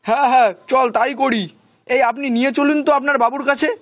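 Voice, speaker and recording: Man acting a husky, grasping eatery owner. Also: very high-pitched, steady tone, fast talker. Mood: indignant. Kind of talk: children's cartoon acting.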